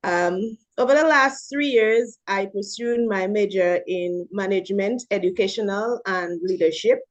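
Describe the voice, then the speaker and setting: A woman's voice: medium pitch, measured pace. A woman from Dominica, an elementary school principal, introduces herself in a formal way. Her voice comes through dull, lo-fi audio.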